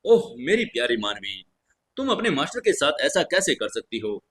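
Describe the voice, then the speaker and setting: A man's voice: mid-range voice, very animated, normal speed, a bit bright, slightly rough. A man voicing a shameless old master magician in an audio story, effusive and wheedling. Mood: wounded, wheedling.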